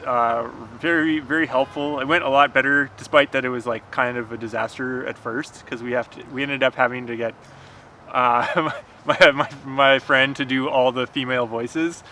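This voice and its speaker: A man chatting casually as a writer guest, in a halting way with repeated false starts. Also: medium pitch, steady tone, pushed and bright. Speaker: screenwriter guest. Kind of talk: casual chat. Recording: clearly noisy outdoor urban ambience.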